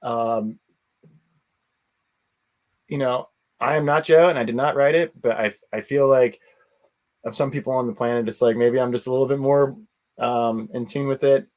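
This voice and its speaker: A man speaking as an interview guest in a casual, earnest and halting way, often circling around his point. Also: mid-range voice, steady tone, a little mellow. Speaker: interview guest, convention organizer.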